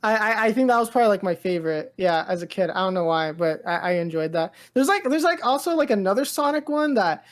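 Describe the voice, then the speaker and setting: A woman co-hosting a pop-culture podcast. She talks in a loose, gushing ramble full of filler words, like an excited fan chatting with a friend. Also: medium pitch, quick pace, few pauses. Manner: rambling, heavy use of "like" and "dude"; enthusiastic, gushing. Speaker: podcast co-host. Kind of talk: casual pop-culture chat.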